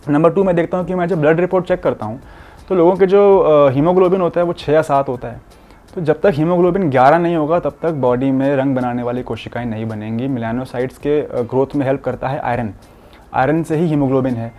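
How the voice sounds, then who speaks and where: A man's voice: fairly high pitch, fairly quick, warm tone, faint music behind. A young man, a doctor, explaining health advice to camera in an earnest, explanatory way, mixing English medical terms into his talk. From India. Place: furnished studio room with curtains, indoors.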